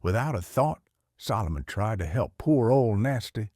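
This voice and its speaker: A man with a Southern US accent reading a children's storybook aloud in a folksy, expressive way. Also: lowish voice, moderate pace, a little hiss or hum. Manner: folksy, animated.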